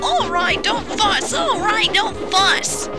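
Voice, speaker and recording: A woman voicing silly, noisy railway trucks that squeal and shout in a storybook reading. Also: very high-pitched, expressive, slow, over loud music, over a noisy crowd, pitched above the performer's own voice, exaggerated voice. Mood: grumbling.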